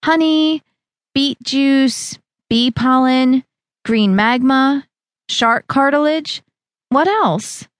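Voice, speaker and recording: A woman voicing a pushy, upbeat young female fitness trainer who coaxes loudly. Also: sits high, drawn-out words, no echo, pitched above the performer's own voice. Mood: bossy. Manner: pushy, cheerful, loud coaxing.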